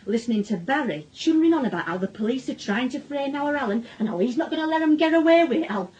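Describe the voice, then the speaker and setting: A woman's voice: high voice, animated, quick pace, darkish tone, husky, muffled recording. An actress playing a worried, middle-aged Northern English mother with a dry, sardonic manner in a naturalistic radio drama. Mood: exasperated. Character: worried, wry Northern mother of a suspected lad.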